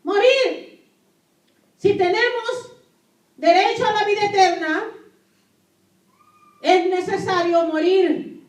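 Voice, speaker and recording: A woman's voice: very high voice, slow pace, long pauses. A woman pastor preaching a sermon in Spanish as a native speaker, emphatically repeating key phrases and putting questions to her listeners. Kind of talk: sermon with scripture reading. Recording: echoey room, distant microphone.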